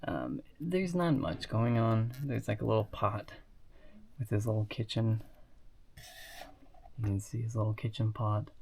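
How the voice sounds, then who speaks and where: A man's voice: fairly low pitch, a little slow, gravelly, long pauses, darkish tone. A sick, sniffly man casually showing off toy sets in a rambling way, with lots of fillers and asides. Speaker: hobbyist reviewing toy building sets. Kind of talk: casual show-and-tell.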